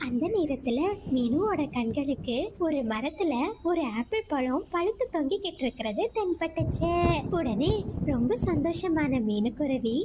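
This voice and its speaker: Very high-pitched woman storyteller. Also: animated, moderate pace, noisy background. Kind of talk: scripted children's story narration.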